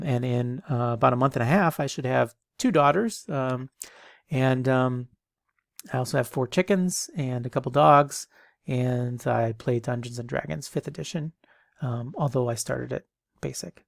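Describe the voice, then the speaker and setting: A man's voice: medium pitch, gravelly, dry recording, studio quality. A man in his thirties giving a casual online lecture as an instructor, rambling with many ums and restarts, a bit stuffy from allergies.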